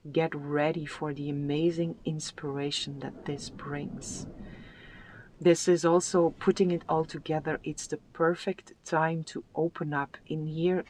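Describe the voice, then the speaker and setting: A tarot card reader giving a spiritual reading with affirmations, in a soft, soothing and unhurried voice that sometimes drops to a whisper. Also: mid-range voice, poor audio. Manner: soft, soothing, unhurried delivery with drawn-out phrases.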